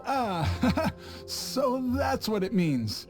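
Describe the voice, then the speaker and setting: A man's voice: extremely high pitch, very animated, drawn-out words, soft background music, exaggerated voice. A man voicing a cowardly, scheming visiting merchant in a game, stammering and panicky. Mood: nervous.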